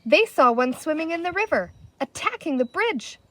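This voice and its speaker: Woman playing a panicky little creature chief, high-pitched. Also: very animated, moderate pace, fairly bright tone, a little hiss or hum. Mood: horrified. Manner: frantic, whimpering, melodramatic. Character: small wizened male creature chief, panicky and cowardly. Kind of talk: children's storybook character voice.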